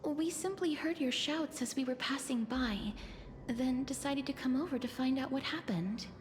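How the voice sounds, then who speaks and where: A woman playing a gentle, composed young heroine in a video game, with a soft, breathy, reassuring delivery. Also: high voice, normal speed, slight background noise, natural voice.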